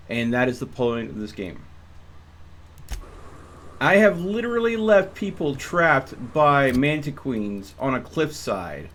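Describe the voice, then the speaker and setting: A man's voice: mid-range voice, slight background noise. A young man streaming a game, chatting casually with a rambling, hesitant manner. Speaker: gaming streamer. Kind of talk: casual live-stream commentary.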